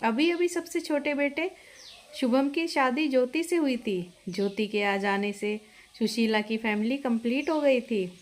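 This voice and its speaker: Woman telling a scripted family story, kinda high voice, narrator style. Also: unhurried.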